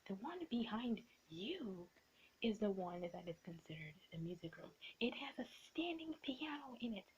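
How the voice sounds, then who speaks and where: A woman's voice: medium pitch, sing-song, normal speed, lo-fi sound, close to an everyday voice. Woman acting as teasing young art student, noisy recording. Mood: curt, exasperated. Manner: coy, teasing, half-whispered delivery. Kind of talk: improvised ASMR roleplay acting.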